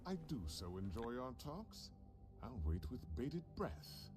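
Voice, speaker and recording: An actor playing a scheming, smug aristocrat in a game, speaking in a silky, condescending, sardonic way, with game music underneath. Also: male voice, medium pitch, very animated, slow pace. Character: scheming aristocratic male fable, smug and menacing.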